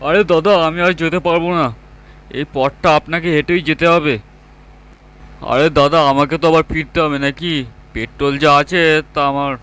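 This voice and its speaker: A man's voice: on the high side, fairly even intonation, normal speed, a bit bright, clear, resonant tone, close to an everyday voice. A man playing an unhelpful auto-rickshaw driver in a cartoon, full of protesting excuses. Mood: defensive.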